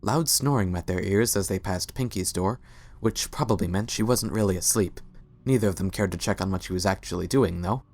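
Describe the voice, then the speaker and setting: Low-pitched male story narrator. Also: brisk, darkish tone. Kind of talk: scripted dramatic story narration.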